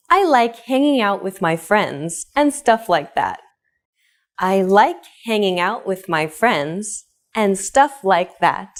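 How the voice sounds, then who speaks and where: Slow, lively woman teacher. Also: medium pitch. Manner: clear, upbeat, slow modeling of example phrases. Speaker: English teacher. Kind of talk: scripted language lesson.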